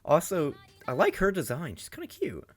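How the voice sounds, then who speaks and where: A man hosting a casual reaction video, talking excitedly, swearing often and thinking aloud with many restarts. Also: a little high, normal speed, faint music behind.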